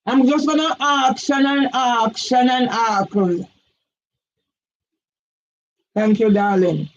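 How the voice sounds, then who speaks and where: An older woman with a Jamaican accent, delivering an agitated, repetitive monologue for her own channel that rises now and then into shouts. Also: on the high side, slow. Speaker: vlogger. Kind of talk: impassioned monologue. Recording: dull lo-fi audio.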